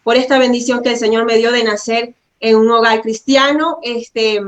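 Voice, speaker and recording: A woman speaks in a fairly high voice as a guest. She gives personal testimony courteously and devoutly, conversational, often checking in with little tag questions. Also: steady tone, normal speed, dull audio. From Venezuela. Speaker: guest, pastor's wife.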